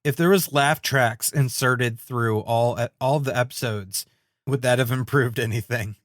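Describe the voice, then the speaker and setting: A man's voice: medium pitch, unhurried, a bit bright, dry recording, clean studio sound. A man co-hosting a podcast in casual comic commentary, tossing in wry, exasperated quips. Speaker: podcast co-host.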